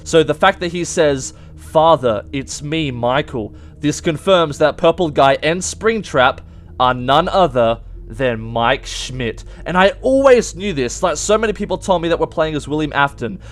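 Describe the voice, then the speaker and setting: Higher-voiced man giving emphatic game commentary. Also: animated, normal speed. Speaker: video game theory host. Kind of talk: informal explanatory commentary.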